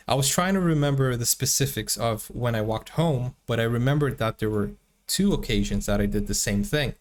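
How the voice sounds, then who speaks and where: A man hosting a movie reaction, chatting casually and rambling through personal stories, a native Portuguese speaker talking in English. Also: medium pitch. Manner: chatty, rambling, laughs often. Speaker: reaction channel host.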